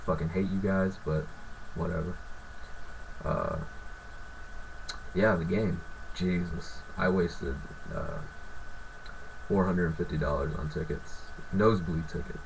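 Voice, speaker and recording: A young man from California, sick with a sore throat and raspy, ranting and swearing in a rambling, filler-heavy way. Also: deep voice, fairly even intonation, takes it slowly, background noise. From Fresno, California. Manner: profane, rambling, lots of filler. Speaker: sports fan vlogger. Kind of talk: casual ranting vlog.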